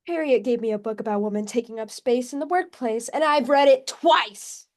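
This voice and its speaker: A woman playing a flustered, eager-to-please presidential secretary, nervous, halting and earnest, in a comedy play table read. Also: a little high, natural voice. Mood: earnestly assertive.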